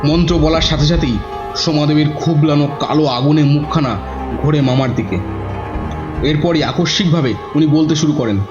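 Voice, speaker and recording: A man's voice: fairly high pitch, moderate pace, lightly acted. A man playing a devoted young nephew who narrates a horror audio drama in a dramatic, scripted style. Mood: tense narration. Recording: dull lo-fi, music behind.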